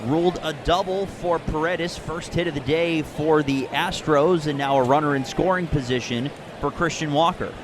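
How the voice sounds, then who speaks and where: A man calling baseball play-by-play in a descriptive, measured radio broadcast style. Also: on the high side, noisy background. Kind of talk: live radio sports commentary with sponsor reads.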